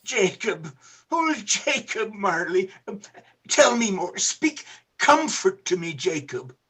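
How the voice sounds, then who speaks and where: A woman playing a frightened, skeptical old male miser in a theatrical radio play, with a husky voice and halting, agitated delivery. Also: mid-range voice, very animated, fairly bright tone, heavily acted. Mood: subdued, pleading.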